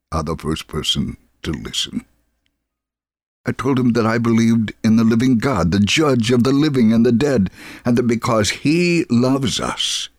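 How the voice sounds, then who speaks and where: A man's voice: lowish voice, no room sound, studio quality. A man reading a scripted first-person testimony as a story narrator.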